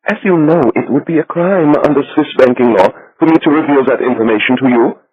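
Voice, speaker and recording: A man's voice: mid-range voice, a little fast, husky, dry recording, narrow, tinny audio, pitched above the performer's own voice, close to an everyday voice. A man voicing a prim, nervous middle-aged Swiss banker who speaks in a fussy, precise, defensive way. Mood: indignant.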